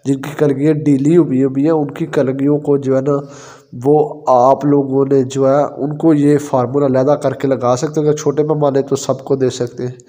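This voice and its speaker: A man with a Pakistani Urdu accent giving step-by-step poultry advice in a voice-over, pausing often with fillers. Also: mid-range voice, level tone, dark tone. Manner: explanatory, step-by-step with frequent fillers. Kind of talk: instructional voice-over.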